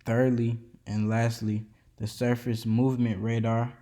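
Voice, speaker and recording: A man's voice: medium pitch, flat delivery, measured pace, warm tone. A man reading an educational presentation aloud from a script, with occasional slips and restarts. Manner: reads steadily from a script, with small slips and restarts. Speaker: student presenter. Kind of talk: read-aloud educational narration.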